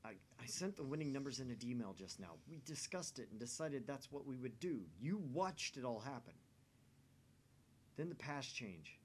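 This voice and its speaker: A man's voice: medium pitch, expressive, moderate pace, lightly acted. A bewildered, intense young man from a visual novel, read out by a man. He speaks in a middle register with a dramatic, questioning bent. Slight noise is audible behind him. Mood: dramatic, troubled. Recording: faint background noise.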